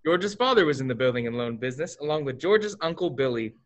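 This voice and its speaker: A man's voice: mid-range voice, big pitch swings, fast talker, lightly acted. A young man playing a fond storyteller, narrating a radio play expressively. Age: young adult. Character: story-telling guide, recounting a life fondly.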